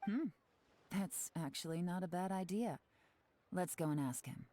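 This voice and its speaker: A woman voicing a composed intelligence chief in a video game, speaking calmly and with quiet authority over faint game music. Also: lowish voice, a little slow, slight background noise, close to an everyday voice. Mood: commanding. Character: cool-headed woman spymaster giving orders. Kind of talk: game voice acting.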